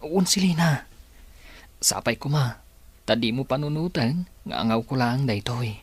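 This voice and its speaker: A man's voice: medium pitch, animated, measured pace, breathy edge, no room sound. A man playing a sincere, lovesick young suitor, pleading his case in a radio drama. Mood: earnest.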